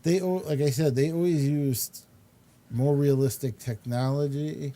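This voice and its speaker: A man's voice: a little high, fairly even intonation, a little slow, warm tone. A man chatting casually and opinionatedly about pop culture, rambling as he explains and throwing in exasperated asides. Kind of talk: casual chat about films and comics.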